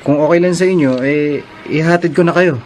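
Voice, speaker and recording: An actor playing a polite, earnest old flame in a radio drama, courteous and a little hesitant. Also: male voice, fairly high pitch, fairly even intonation, fairly quick, a little mellow, slight background noise, natural voice. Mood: tentative. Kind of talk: Filipino radio drama acting.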